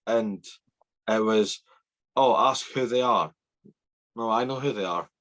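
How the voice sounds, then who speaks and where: A man's voice: fairly low pitch, slow pace, a bit bright, rough recording. A young Scottish man in his twenties hosting a casual rugby podcast over a video call from a small bedroom, chatty and self-deprecating. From Scotland. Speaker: podcast host. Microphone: video call webcam.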